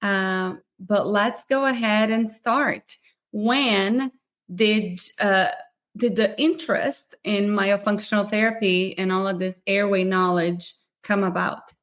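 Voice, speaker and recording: A woman hosting a live podcast interview, upbeat and talking quickly in long runs. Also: medium pitch, a bit bright. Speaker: podcast host.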